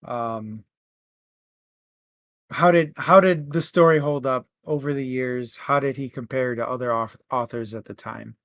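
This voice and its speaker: A man's voice: mid-range voice, unhurried, a bit bright, no echo, clean studio sound. A man co-hosting a book club, talking casually through a book and animatedly retelling parts of it.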